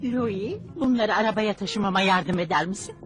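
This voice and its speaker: A woman's voice: medium pitch, normal speed, music in the background, natural voice. A woman voicing a cheerful, patient mother in a Turkish cartoon dub, polite and chirpy. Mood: kindly.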